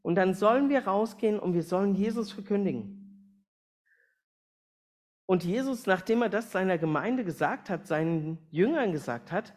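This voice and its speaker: A man's voice: high voice, darkish tone. A man speaking to a congregation as a guest mission speaker, plain-spoken, addressing listeners directly with questions and everyday illustrations. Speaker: missionary aviation organisation speaker, guest preacher. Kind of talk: sermon and mission presentation to a congregation.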